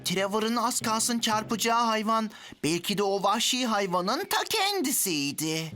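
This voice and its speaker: Over clear music, a cheeky schoolboy in a kids' cartoon. The delivery is mischievous and very animated, swinging up and down in pitch. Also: female voice, a little slow. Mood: teasing, ominous. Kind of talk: animated children's cartoon dub.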